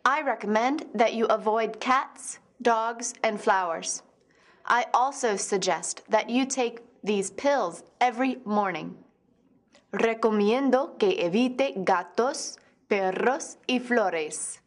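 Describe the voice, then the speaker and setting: Woman reading as a doctor: slow, steady advice-giver. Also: on the high side. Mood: advising. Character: calm adult doctor giving advice.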